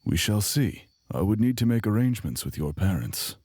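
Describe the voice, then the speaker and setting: A wary, patient wizard tutor, played by a man, with a deep, husky voice. He speaks formally and with dry restraint, as in a fantasy audio drama. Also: very animated, normal speed, darkish tone, no room sound. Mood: drily indulgent.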